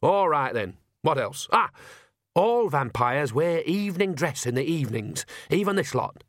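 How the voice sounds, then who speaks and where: A man's voice: fairly high pitch, very animated, unhurried, a bit bright, dry recording, clean studio sound, pitched above the performer's own voice. A man voicing a wrinkled, bossy old village witch woman in an audiobook, folksy, blunt and colloquial. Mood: cheeky, blunt. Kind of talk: audiobook character voice.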